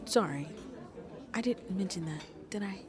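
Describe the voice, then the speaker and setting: A woman playing a shy, gentle century-old vampire who seems in her twenties, soft-spoken and hesitant in an ASMR roleplay, often dropping to a near-whisper. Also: medium pitch, lively intonation, a crowd in the background, natural voice. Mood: sheepish, apologetic. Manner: hesitant, apologetic, halting, breathy near-whispers.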